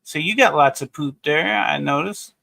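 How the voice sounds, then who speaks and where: A man with a fairly high voice, chatting casually as a livestream co-host. He is easygoing and mostly chimes in briefly. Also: moderate pace, gravelly, bright, forceful tone, no room sound. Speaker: co-host of a live-streamed indoor growing show.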